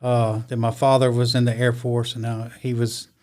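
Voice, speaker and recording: A middle-aged man, a lawyer, answering interview questions in a relaxed, understated way with short, dry replies. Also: mid-range voice, normal speed. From born in Italy, raised in the US. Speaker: guest, criminal defense lawyer.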